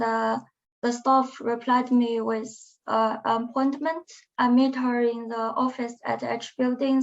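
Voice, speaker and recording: Young woman, non-native English, high-ish voice, hesitant restarts, muffled lo-fi webinar audio. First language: not English; non-native speaker. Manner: hesitant, halting phrasing with restarts. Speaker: alumna guest. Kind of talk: webinar interview answers.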